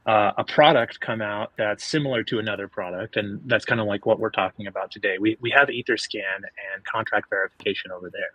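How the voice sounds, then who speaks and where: A man's voice: on the low side, fairly quick, hardly any pauses, no echo, muffled recording. A man co-hosting a podcast, asking the guest long, thoughtful questions in a casual way. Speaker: podcast co-host.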